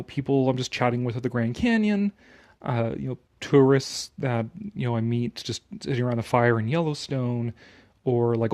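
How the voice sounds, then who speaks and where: A man's voice: mid-range voice, a little mellow. A Canadian man giving casual, opinionated commentary on an article he reads aloud, sarcastic, exasperated and given to swearing.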